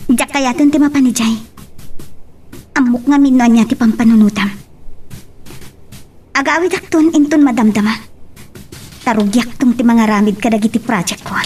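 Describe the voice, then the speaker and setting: Woman acting a high-voiced young student daughter. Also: moderate pace, long pauses, a little mellow, faint music behind, lightly acted. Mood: hurt, pleading. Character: studious young daughter, lovesick but headstrong student. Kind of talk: Philippine radio soap acting.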